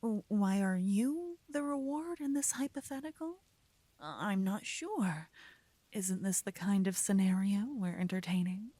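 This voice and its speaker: A woman playing a devoted, teasing adventurer lover in a romantic fantasy ASMR roleplay, breathy and often whispering, speaking intimately into a close microphone. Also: mid-range voice, dark tone, dry recording, natural voice. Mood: musing, gently reasoning. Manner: slow, intimate, soothing delivery. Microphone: close, dry microphone.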